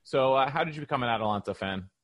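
A man's voice: medium pitch, lively intonation, rapid-fire, a bit bright, dry recording. A man hosting a conversational sports podcast, interviewing a guest. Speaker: podcast host.

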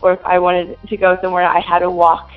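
A woman's voice: lowish voice, monotone, fast talker, phone-quality sound, soft background music. A twenty-year-old woman from Minnesota, answering interview questions in a hesitant way with many fillers and giggles. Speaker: interview guest.

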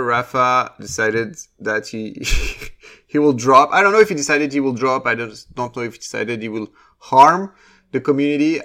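A man's voice: medium pitch, bright, forceful tone. Hesitant male podcast host. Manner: hesitant, stumbles over words. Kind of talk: casual podcast interview.

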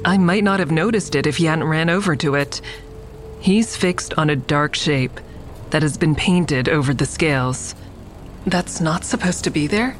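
An actress playing a reflective, tender woman who narrates an audio drama in measured, descriptive first person. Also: low voice, soft background music, natural voice. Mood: uneasy, suspenseful. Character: reflective woman admiring a friend's mural, tender. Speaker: narrator and lead character.

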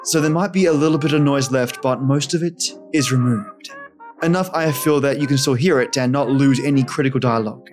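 A man's voice: medium pitch, a little fast, faint music behind. A man hosting a storytelling podcast, reading a scripted introduction. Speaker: podcast host.

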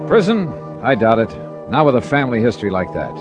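A man's voice: mid-range voice, very animated, fairly quick, highs cut off. A man narrating and playing a hard-boiled insurance detective in a 1950s radio drama, terse and matter-of-fact. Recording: old radio broadcast with music.

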